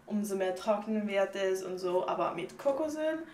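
A woman's voice: medium pitch, expressive, normal speed, darkish tone. A young woman with a Swiss German accent, chatting casually and loosely through beauty tips, with lots of fillers. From Switzerland. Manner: chatty and unpolished, with many fillers like "und so". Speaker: vlogger. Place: home bathroom, indoors. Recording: echoey bathroom with faint noise.

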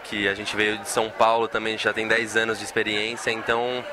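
A man's voice: lowish voice, monotone, brisk. A young Brazilian man giving a casual, slangy interview. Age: young adult. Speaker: restaurant team member being interviewed. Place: busy restaurant room. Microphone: handheld interview microphone. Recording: noisy restaurant background.

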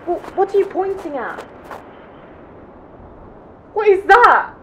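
An actress playing a plucky young bush pilot, delivering a confiding dramatic monologue in an audio play. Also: female voice, extremely high pitch, very animated, slow pace, muffled recording, background noise, exaggerated voice. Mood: flustered. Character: plucky young female bush pilot narrating her story.

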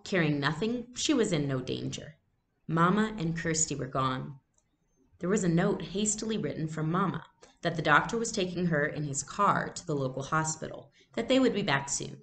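Young woman teacher reading and explaining stuff, lowish voice, noisy webcam sound at home. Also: a bit bright. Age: young adult. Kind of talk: read-aloud lesson with commentary. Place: small room at home.

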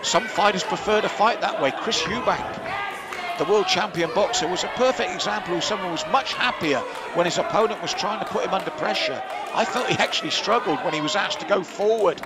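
A man's voice: high-pitched, brisk. A man giving live ringside commentary on a kickboxing bout, analytical and offering his own view of the scoring. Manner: analytical, gives personal opinions on the scoring. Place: indoor kickboxing venue with live audience. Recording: loud crowd and venue noise behind.

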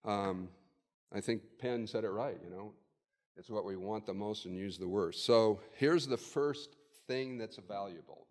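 Older guy talking at a podium mic, kinda low voice, loose and chatty, hall reverb. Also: unhurried, darkish tone. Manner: conversational, thinks aloud with fillers. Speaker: keynote speaker. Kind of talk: conference keynote talk. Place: hotel conference room with audience. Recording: long reverb of a conference hall.

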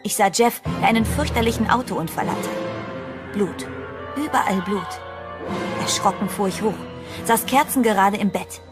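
Young female lead, played by an actress, earnest, uneasy, measured, medium pitch, clear music bed, horror audio drama. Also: natural voice. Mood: reflective, uneasy. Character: sensible, uneasy young woman, narrating heroine.

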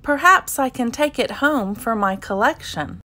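Casting: female reader playing a young boy platypus, curious cheerful collector, musing, high and very animated, unhurried storybook delivery. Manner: musing, expressive exclamations. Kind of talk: children's picture-book read-aloud.

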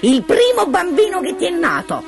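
Lady doing a tiny storybook dwarf guy, super high and shrill, sneering and gloating, swoops all over, music behind. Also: wildly swooping pitch, normal speed, creaky, full character voice. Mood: taunting. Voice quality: shrill, cackling. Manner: sneering, gloating, bursts of exclamation. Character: gleeful, taunting little male dwarf, magical bargainer. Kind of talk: fairy-tale storybook character voice.

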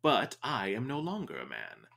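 A man's voice: medium pitch, wildly swooping pitch, normal speed, vocal fry, fairly bright tone, exaggerated voice. An actor playing a pompous, florid and dreamy male photographer sidekick in a radio detective drama. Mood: grandiose.

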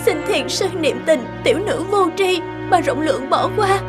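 Young noblewoman, high voice, tearful, remorseful, melodramatic cartoon dub, music behind. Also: animated, moderate pace.

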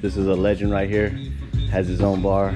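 A man casually narrating a vlog as he tours his weightlifting gym, relaxed and chatty. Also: sits low, moderate pace. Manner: relaxed, chatty, laid-back. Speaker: vlogger touring his gym. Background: music.

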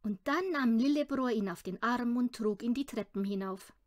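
Female audiobook reader, high animated voice, dry close sound, children's storybook narration. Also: normal speed, no echo.

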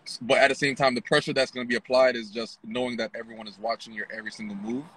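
Husky-voiced man talking from his car. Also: mid-range voice, racing, low-quality recording. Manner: relaxed, many "you know" and "like". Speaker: interviewer, trading channel host. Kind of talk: casual interview. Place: inside a parked car at night. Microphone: phone held close.

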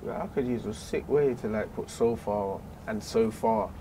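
A young South London man talks in an easygoing, slangy way as a guest. His voice sits a bit low. A faint hum sits behind him. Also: normal speed. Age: young adult. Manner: easygoing, laughs often, slangy. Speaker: guest, rapper. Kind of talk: casual chat. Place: small carpeted room, seated in armchairs.

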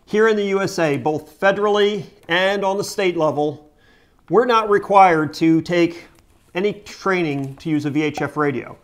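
A man's voice: high voice, normal speed. A middle-aged American man explaining things to camera as a video host, emphatically and with rhetorical questions, indoors at home.

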